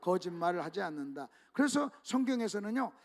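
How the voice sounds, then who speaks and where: A man preaching a sermon to a church congregation, leading them in call-and-response reading and swinging between hushed asides and loud emphatic exclamations. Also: high voice, very animated, fairly quick. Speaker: pastor. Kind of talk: sermon at a revival meeting. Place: church sanctuary.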